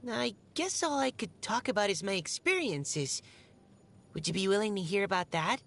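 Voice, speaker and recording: A young woman voicing a shy, gentle, earnest army scout in a video game, speaking politely and hesitantly. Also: mid-range voice, lively intonation, moderate pace. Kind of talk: video game character voice lines. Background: music.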